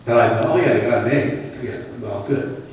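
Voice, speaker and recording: A man's voice: medium pitch, normal speed, a little mellow, highs cut off, a little hiss or hum. A man with a Boston accent giving a conversational, emphatic keynote at a conference and putting questions to the audience. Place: large conference hall. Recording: echoey hall, voice from far off.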